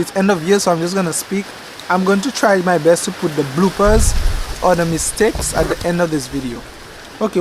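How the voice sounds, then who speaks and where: A man's voice: a little high, background noise. Chatty man gardening outdoors. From Dominica. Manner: relaxed and chatty, self-correcting, laughs at his slips. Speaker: gardening channel host. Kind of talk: casual rambling chat to camera. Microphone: camcorder microphone.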